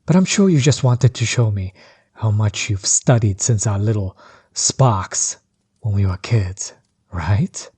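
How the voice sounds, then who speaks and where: A man playing a menacing, bitter sorcerer overlord in an audio roleplay, breathy and husky, measured and condescending, often sinking toward a whisper. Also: on the low side, mellow. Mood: amused, taunting. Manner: measured, condescending, coldly taunting. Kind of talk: scripted villain monologue, audio roleplay.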